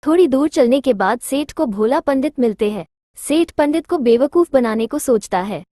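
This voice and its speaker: A woman's voice: high-pitched, animated, normal speed, dark tone, no room sound, studio quality. A woman narrating a scripted children's moral story.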